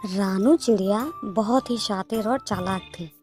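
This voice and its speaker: A woman's voice: mid-range voice, measured pace, a little mellow, soft background music. A woman narrating a children's moral story in a scripted storytelling style.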